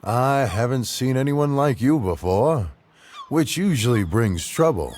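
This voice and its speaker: A gruff male ship's captain in a fantasy game, played by a man, speaks slowly in a lowish voice with animated pitch. He sounds wary and suspicious, with faint background noise behind him. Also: lightly acted. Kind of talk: fantasy game cutscene acting.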